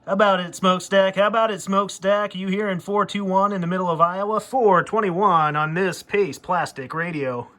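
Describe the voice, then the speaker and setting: A man's voice: high voice, fairly even intonation, moderate pace, few pauses, a loud crowd. A man giving a casual hands-on demo and calling out as a CB radio hobbyist. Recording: clear background radio noise.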